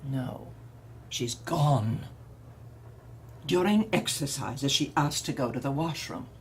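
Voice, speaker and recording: A woman's voice: very deep voice, animated, slow, a little hiss or hum, full character voice. A woman playing a stern, controlling older woman who runs a reeducation center, coldly sweet and menacing. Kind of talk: audio drama acting.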